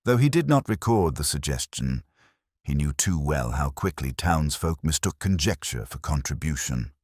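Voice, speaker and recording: A man narrating a mystery story in a measured, expressive way. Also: a bass voice, breathy edge, dry recording, studio quality.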